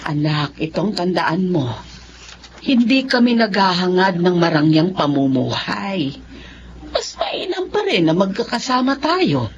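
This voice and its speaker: A woman's voice: on the low side, sing-song, unhurried. A Filipino woman acting in a radio drama as a worried, loving middle-aged mother of a poor family. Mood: tender, worried. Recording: dull lo-fi, faint background noise.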